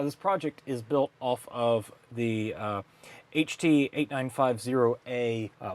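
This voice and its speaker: A man hosting a hobby electronics vlog in a casual, unscripted way, talking through the work a little haltingly as he goes. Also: medium pitch. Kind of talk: casual unscripted build narration.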